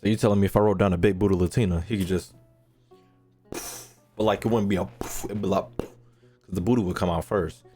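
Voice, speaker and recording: A man's voice: deep voice, fairly even intonation, a little fast, pauses often, dark tone, soft background music. A man giving casual, joking gameplay commentary as a video host, crude and slangy.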